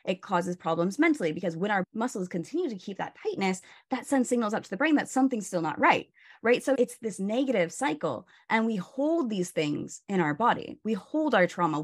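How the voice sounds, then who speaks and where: A woman's voice: mid-range voice, lively intonation, brisk. A woman burnout coach, a guest on a video call, explaining stress in a casual, instructive way with many "you know"s and "right?"s.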